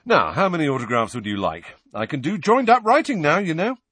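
A man's voice: fairly high pitch, big pitch swings, fairly quick, fairly bright tone, breathy edge, dry recording, pitched above the performer's own voice, heavily acted. A man voicing a vain, exuberant, childishly vacant celebrity wizard in an audiobook, with a breezy, self-satisfied manner.

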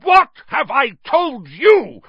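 A man's voice: fairly low pitch, slow pace, vocal fry, no echo, dull audio, full character voice. A man voicing a pompous, hot-tempered middle-aged uncle who roars and snarls in an audiobook. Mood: thundering rage. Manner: roaring, snarling, indignant. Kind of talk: acted audiobook dialogue.

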